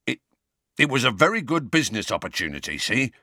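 A man acts a scruffy, cowardly rogue from a storybook. He talks in slang with an animated, swooping voice, sheepish and evasive. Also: mid-range voice, a little fast, dry recording, clean studio sound, voice pushed up, exaggerated voice. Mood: aghast, sheepish. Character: shifty, scruffy, cowardly petty crook.

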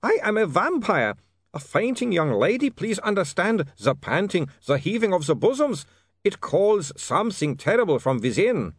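A man's voice: high voice, sing-song, moderate pace, husky, dry recording, studio quality, pitched above the performer's own voice, full character voice. A man reading a story aloud and voicing a nervous male vampire inventor with a foreign accent, pleading and trembling. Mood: desperate.

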